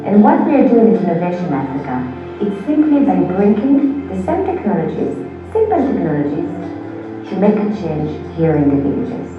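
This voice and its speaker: Woman doing documentary voiceover, slow careful stress, played on speakers far off, muffled, music under it. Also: fairly low pitch, sing-song. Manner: measured, stressing key words. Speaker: video narrator. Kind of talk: scripted documentary narration. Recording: video played over room speakers, far and echoey. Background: music from the video.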